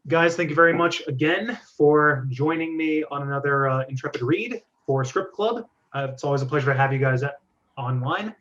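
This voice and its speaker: A man's voice: a little high, normal speed, fairly bright tone. A man hosting a casual group discussion over an online call. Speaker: host. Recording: dull lo-fi call audio.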